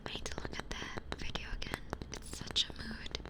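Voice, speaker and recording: A woman whispering breathily in a playful, rambling way, chatting casually as an ASMR streamer close to a binaural microphone. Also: normal speed. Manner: playful, rambling, repeats phrases. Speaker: ASMR livestreamer chatting with viewers.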